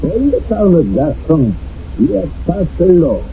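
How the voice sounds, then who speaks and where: A man's voice: fairly high pitch, big pitch swings, unhurried, warm tone. An actor playing a stern, formal chairman of a wartime village court in a radio drama. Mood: grave, accusing. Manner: formal, solemn, judicial. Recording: lo-fi old radio broadcast, faint noise.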